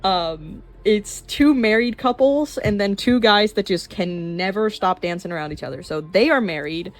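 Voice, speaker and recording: A woman of twenty-one chatting casually in a rambling way while showing her sketchbook, with fillers and quick laughs. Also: medium pitch. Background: soft background music.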